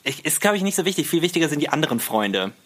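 A man's voice: a little high, sing-song, very fast, a bit bright, slight background noise. A young man talking casually and humorously as a podcast guest, explaining things in a playful, mock-serious way.

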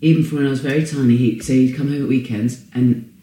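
A woman's voice: extremely low pitch, steady tone, warm tone. Giggly English woman, echoey room. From England. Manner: laughs often, halting, restarts mid-thought. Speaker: podcast co-host. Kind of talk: casual chat.

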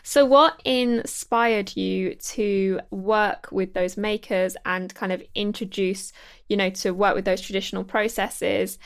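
A woman's voice: mid-range voice, fairly even intonation, slow, smooth. A woman hosting a podcast interview, enthusiastic and friendly as she asks questions. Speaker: podcast host.

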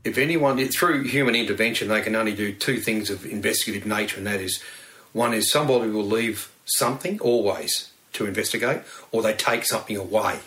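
Male ex-detective interviewee, Australian accent, lowish voice, halting and rambling, unscripted. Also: a bit bright, rough recording. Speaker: former police detective, interviewee.

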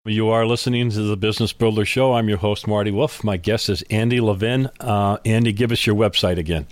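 A man's voice: on the low side, fairly even intonation, a little fast, no echo. A man hosting a radio business show, speaking informally and bluntly in a conversational interviewing style.

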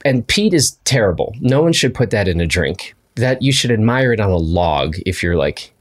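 Very low-voiced man, dry joking host. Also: very animated, normal speed. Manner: dry, joking, self-mocking. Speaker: podcast host. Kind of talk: casual podcast chat.

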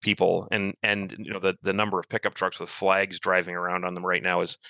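A man with a Canadian accent co-hosting a podcast, speaking in a quick, wry, matter-of-fact way. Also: sits low, completely flat delivery, gravelly, sharp tone, no room sound, highs cut off. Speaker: podcast co-host. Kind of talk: conversational podcast discussion.

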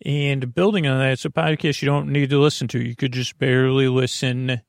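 A man hosting a bedtime podcast in a creaky, gravelly voice, rambling in a halting, meandering way and drifting into tangents mid-sentence. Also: medium pitch, little pitch movement, no echo, clean studio sound. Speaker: podcast host. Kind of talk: rambling bedtime monologue for falling asleep.

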